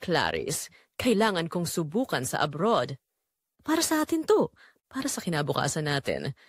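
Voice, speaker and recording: A woman voicing an earnest, devoted husband in an audiobook, soft-spoken and tender. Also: sits low, huge pitch swings, normal speed, breathy edge, no room sound, clean studio sound, heavily acted. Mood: earnest, pleading. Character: gentle, earnest first husband, longing and devoted. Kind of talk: audiobook character dialogue.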